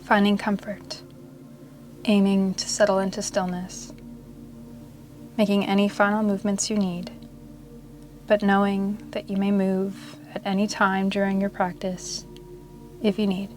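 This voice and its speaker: A woman with a mid-range voice leads a guided meditation. Her delivery is soothing and measured, staying very level in pitch with generous pauses between phrases. Music plays clearly behind her. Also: monotone. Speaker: yoga nidra meditation guide.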